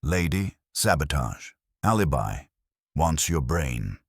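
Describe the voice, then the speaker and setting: A man's voice: very deep voice, very animated, slow, husky, no echo, clean studio sound, heavily acted. A man voicing a wisecracking, street-smart private eye's assistant, sardonic and blunt, in an audiobook. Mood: dry, blunt.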